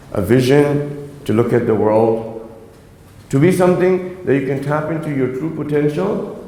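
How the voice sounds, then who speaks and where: A man's voice: on the high side, darkish tone. Earnest man lecturing in an echoey hall. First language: Urdu. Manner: earnest, exhorting, asks the audience "right?". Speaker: religious lecturer. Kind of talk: earnest religious talk to young people.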